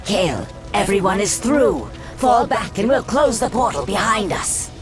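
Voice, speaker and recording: A regal, mysterious fantasy sorceress. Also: female voice, mid-range voice, lively intonation, a little slow. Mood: triumphant. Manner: formal, dramatic, portentous. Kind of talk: dramatic fantasy game acting. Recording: game music under the voice.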